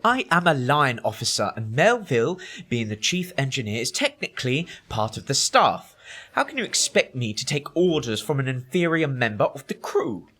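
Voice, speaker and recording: A man's voice: medium pitch, big pitch swings, normal speed, bright, forceful tone. A man playing a proud, argumentative naval line officer in a radio play, sounding indignant and pompous.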